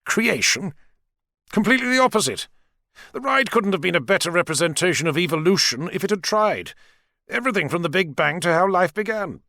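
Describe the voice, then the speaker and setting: Man playing an assured lecturing scientist, audiobook character, raised pitch, emphatic, animated. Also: a little fast, dry recording, clean studio sound, full character voice. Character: male scientist, assured, lecturing, enthusiastic about evolution.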